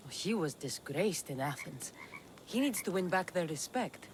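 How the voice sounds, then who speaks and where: A woman's voice: fairly low pitch, lively intonation, unhurried, a little hiss or hum. A woman playing a tough, determined Greek mercenary in dramatic video game dialogue, firm and resolute. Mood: calm, serious.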